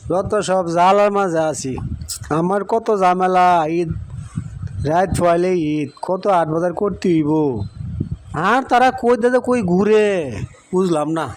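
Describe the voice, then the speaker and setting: A man playing an excitable, teasing village husband in a cartoon story, chatty and emphatic, with a rural Bangladeshi accent. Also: high voice, measured pace, long pauses, slight background noise. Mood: grumbling. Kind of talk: Bengali cartoon story voice acting.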